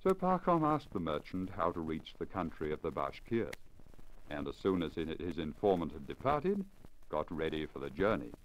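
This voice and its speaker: A man reading a literary story in a measured, formal, expressive manner, in the style of 1950 radio narration. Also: rumbling low. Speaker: story reader. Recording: crackly, dull 1950 lacquer disc recording.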